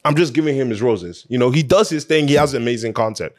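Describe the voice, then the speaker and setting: A man's voice: mid-range voice, animated, quick pace. A man hosting an opinion show, talking casually and emphatically in a conversational way, repeating phrases to drive his points home.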